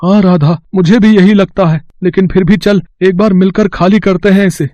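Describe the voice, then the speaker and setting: Cartoon village vegetable seller, played by a man: high male voice, poor and kind-hearted, plaintive, earnest, dry recording. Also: steady tone, moderate pace, mellow, no echo, muffled recording, lightly acted. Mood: amazed, earnest.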